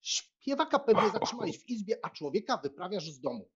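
A man playing a frank, teasing young Polish country squire in an animated, expressive audiobook performance. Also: sits high, a little fast, husky, lo-fi sound, full character voice. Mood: mock scolding. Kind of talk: dramatised audiobook acting.

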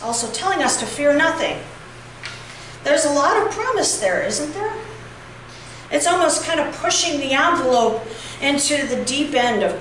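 A woman's voice: on the high side, a bit bright, far from the mic, slight background noise. Woman preaching in an echoey church. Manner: reflective, measured preaching with occasional fillers. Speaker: pastor. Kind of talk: sermon. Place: church sanctuary, at a pulpit. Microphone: far camera.

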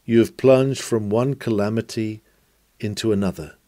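A man delivers an old-fashioned sermon, reading it aloud with earnest, formal oratory. His voice is soft-edged and mellow, and the sound is close and dry, with measured phrases that press the listener. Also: fairly low pitch, steady tone, no echo. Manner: earnest, exhortatory, rhetorical questioning. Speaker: reader of a historic sermon.

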